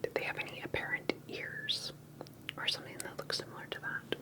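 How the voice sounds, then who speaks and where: A woman whispers throughout in a soft, breathy, unvoiced tone. She plays an interviewer in an ASMR roleplay, posing her questions carefully and deliberately.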